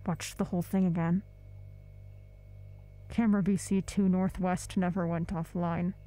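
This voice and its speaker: A woman's voice: on the low side, flat delivery, unhurried, dark tone, natural voice. A woman playing a withdrawn, exhausted surveillance officer in an audio drama, speaking in terse, reluctant phrases. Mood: sullen, guarded. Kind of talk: audio drama monologue, spoken log.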